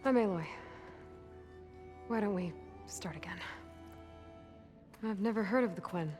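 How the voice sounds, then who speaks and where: A woman acting as a determined young explorer heroine in a video game. She is direct and wry, with a naturalistic, plainspoken delivery. Loud music plays behind her. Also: mid-range voice, unhurried, lightly acted. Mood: calm, inquiring. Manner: plainspoken, direct, mutters to herself.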